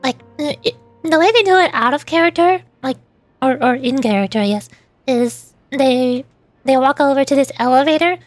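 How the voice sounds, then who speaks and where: A woman with a high-pitched voice gives casual, rambling live-stream commentary. She explains things in a chatty way and drops in playful asides. Music plays behind her. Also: moderate pace, no room sound.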